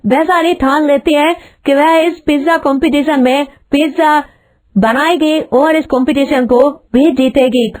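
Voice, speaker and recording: High-pitched woman narrating a story. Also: steady tone, measured pace, a little mellow.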